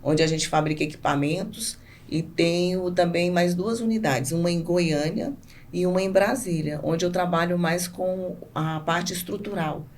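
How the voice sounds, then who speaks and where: A woman's voice: on the low side, steady tone, moderate pace. A Brazilian woman speaking conversationally and emphatically as an interview guest, often repeating herself for stress. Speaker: podcast guest, business owner. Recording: clear background noise.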